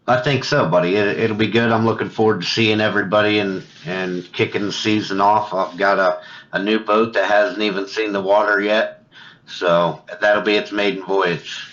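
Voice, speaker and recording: A middle-aged man chatting casually about fishing as a guest angler, halting and repeating words as he thinks aloud over a remote call. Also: on the low side, fairly even intonation, fairly bright tone. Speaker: guest, competitive kayak angler. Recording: dull lo-fi call audio.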